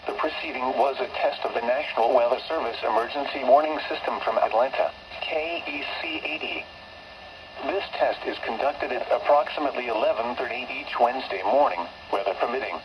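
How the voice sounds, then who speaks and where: A computer-generated male voice reading a weather broadcast in a synthetic, evenly spaced delivery, with odd pitch jumps on numbers. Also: a little fast. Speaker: automated weather radio announcer. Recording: muffled weather radio receiver with static.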